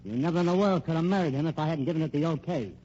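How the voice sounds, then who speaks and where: A man's voice: fairly high pitch, racing, warm tone, lightly acted. An actor playing a slick, menacing American private detective and con man in an old radio crime drama, wisecracking and pushy in tough-guy slang. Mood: smug, threatening. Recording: dull old radio recording, faint noise.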